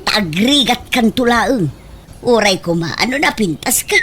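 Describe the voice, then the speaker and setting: A woman's voice: fairly high pitch, animated, measured pace. Lady acting a bossy scornful mom in a radio drama, always nagging, really noisy behind her. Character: harsh, belittling middle-aged mother, quick to scold. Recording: loud background noise.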